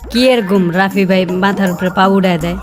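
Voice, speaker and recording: A woman's voice: medium pitch, flat delivery, fast, lightly acted. A woman voicing a cheeky young male bachelor who trades rhyming banter. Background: cartoon background music.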